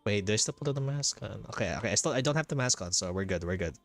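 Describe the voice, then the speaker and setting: A man's voice: lowish voice, lively intonation, fast. A man doing casual, chatty live gameplay commentary, often repeating himself. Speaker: game streamer. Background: soft game music.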